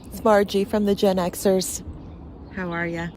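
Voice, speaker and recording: A woman's voice: mid-range voice, steady tone, a little slow, background noise. A middle-aged woman chatting casually as a co-host and vlogger. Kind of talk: casual chat.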